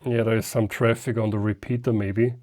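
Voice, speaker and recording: A man's voice: lowish voice, level tone, a little fast, background noise. A man, a German speaker, giving a casual unscripted hands-on gadget demonstration and thinking aloud step by step. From Austria. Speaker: ham radio reviewer.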